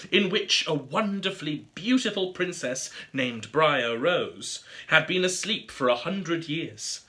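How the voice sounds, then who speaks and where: Casting: male storybook narrator, higher register, very bright and forward tone, theatrical and expressive. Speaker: storybook reader. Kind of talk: scripted fairy tale narration.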